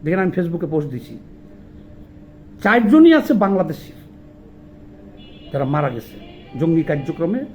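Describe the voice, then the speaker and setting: Male political commentator, Bangladeshi accent, high-pitched, indignant and emphatic, faint music. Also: big pitch swings, normal speed, long pauses, a little mellow. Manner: indignant, emphatic, rhetorical questioning. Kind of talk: impassioned political monologue.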